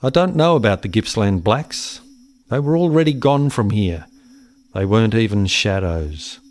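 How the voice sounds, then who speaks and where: An actor playing a haunted settler who recalls his lost brother in deliberate, poetic radio-play narration. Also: fairly low pitch, animated, natural voice. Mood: brooding, haunted.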